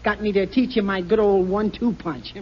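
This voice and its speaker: An actor playing a bumbling, excitable husband in a 1950 radio sitcom, boyish and quick to yelp when flustered. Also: male voice, high-pitched, slight background noise, natural voice. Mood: teasing. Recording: dull old radio broadcast.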